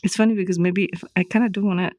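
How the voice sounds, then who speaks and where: A woman's voice: mid-range voice, fairly even intonation, very fast, darkish tone, no echo. A woman hosting a podcast in a casual conversation, hesitant and laughing, often stopping to search for words. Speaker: podcast host.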